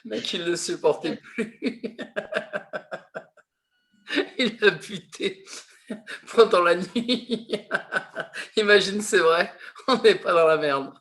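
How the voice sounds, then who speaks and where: A man leads a teen improv class over a video call, speaking at a very slow, drawn-out pace. He laughs often, teases the students and fills his pauses with hesitations. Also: high-pitched, a bit bright. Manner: slow, laughing, filler-heavy teasing. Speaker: improv teacher.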